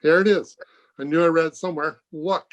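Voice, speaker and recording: An authoritative, thoughtful doctor from a radio mystery, played by a man. The voice is a little higher than average, and the lines come out firm, measured and declamatory. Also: fairly even intonation, a bit bright, lightly acted. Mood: intrigued, grave. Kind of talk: old-time radio mystery acting. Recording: home video-call recording.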